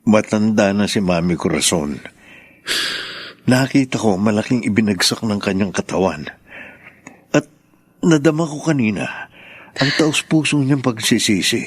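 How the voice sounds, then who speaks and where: An actor playing an earnest, gentle grown son with a measured manner in a Filipino radio drama. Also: male voice, lowish voice, expressive, slightly rough, dry recording. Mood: earnest pleading. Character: earnest adult son, gentle peacemaker urging forgiveness.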